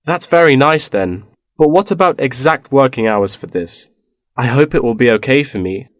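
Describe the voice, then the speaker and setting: A man's voice: medium pitch, expressive, moderate pace, no echo, studio quality, lightly acted. A man playing a polite, inquiring university student looking for part-time work in a scripted language-test dialogue.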